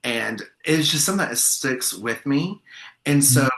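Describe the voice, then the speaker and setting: A man's voice: mid-range voice, animated, measured pace, creaky, a bit bright, reverberant, poor audio. A man hosting a podcast, chatting enthusiastically and rambling with restarts as he interviews a guest. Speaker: podcast host. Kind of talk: casual interview chat.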